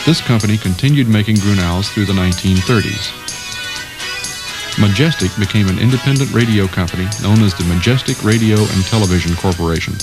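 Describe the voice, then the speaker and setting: A man's voice: lowish voice, a little fast, music in the background. A man reading scripted documentary narration as the narrator.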